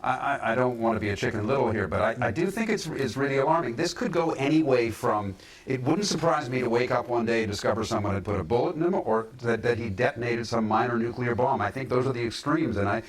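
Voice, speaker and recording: A man speaking as a pundit in a political discussion, emphatic but halting, often repeating words as he builds his point. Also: medium pitch, low-quality recording. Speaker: panel pundit.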